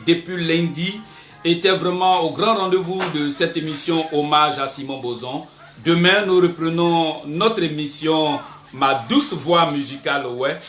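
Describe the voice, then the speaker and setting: A fairly high male voice with an Ivorian accent, presenting a live show. He is emphatic and repeats himself, pausing often to find the next word. The room rings with echo around him. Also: pushed and bright. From Ivory Coast. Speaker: web radio-television host. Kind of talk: live show sign-off with blessings and announcements. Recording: echoey room.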